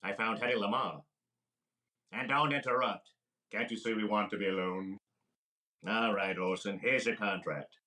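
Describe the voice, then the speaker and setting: An actor playing a brash, fast-talking wisecracking comedian in a deadpan 1940s radio comedy style. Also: male voice, lowish voice.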